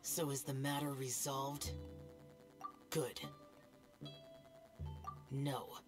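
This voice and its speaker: A man's voice: mid-range voice, flat delivery, very slow. An actor playing a cold, aloof male immortal guardian who speaks tersely and dismissively. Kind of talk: video game cutscene voice acting. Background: game soundtrack music.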